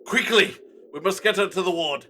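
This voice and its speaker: Man acting an urgent, high-voiced village helper. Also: moderate pace, sharp tone, slight background noise. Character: urgent, helpful village man tending the sick. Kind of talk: audio drama acting.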